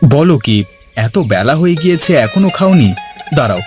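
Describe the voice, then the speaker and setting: A man's voice: fairly high pitch, very animated, normal speed, darkish tone, soft background music. A man voicing an earnest, dutiful village watermelon farmer in a cartoon, plain-spoken in manner. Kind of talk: cartoon dialogue.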